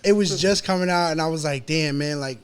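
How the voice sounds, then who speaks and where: A man's voice: fairly high pitch, a little fast, clear, resonant tone. A man in his early thirties from New York, with a New York accent, chatting casually as a rapper being interviewed, slangy and peppering his talk with "you know". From Chelsea, Manhattan, New York, USA.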